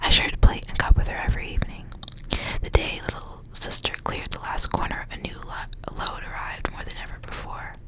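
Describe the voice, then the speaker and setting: A woman speaking in a soft, breathy whisper, hushed like an ASMR reader sharing a story. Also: a little fast. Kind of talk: whispered ASMR story reading with casual asides.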